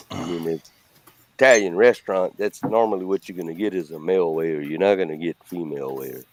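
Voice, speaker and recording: Deep-voiced Cajun man, blunt and salty. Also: darkish tone, a little hiss or hum. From Louisiana. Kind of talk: casual chat.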